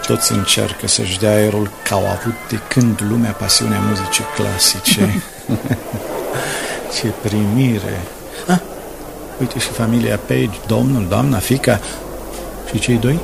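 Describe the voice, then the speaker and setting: A man's voice: lowish voice, fairly even intonation, vocal fry, very noisy. A man playing a shrewd, self-assured and suspicious newspaper reporter in a radio drama, with a theatrical, insistent delivery. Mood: sardonic amusement. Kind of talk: 1980s Romanian radio drama acting. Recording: music behind the dialogue.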